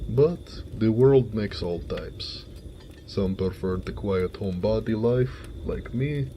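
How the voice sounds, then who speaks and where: A man playing a gentle, affectionate orc blacksmith in a romantic audio roleplay, speaking tenderly with some teasing. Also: lowish voice, a little hiss or hum. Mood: tender, sincere.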